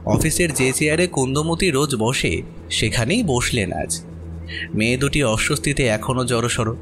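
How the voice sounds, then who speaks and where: A man's voice: mid-range voice, normal speed, noisy background. A man narrating a horror story expressively from a script.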